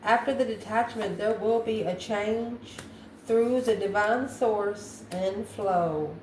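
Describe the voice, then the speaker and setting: A woman's voice: medium pitch, slow pace, darkish tone. A woman doing an improvised tarot card reading, musing aloud and answering her own questions. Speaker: tarot reader, channel host. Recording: echoey room, faint background noise.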